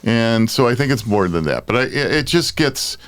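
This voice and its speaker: A man's voice: medium pitch, expressive, moderate pace, dry recording. An American man hosting a casual podcast chat, bantering in an exasperated way. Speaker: podcast host.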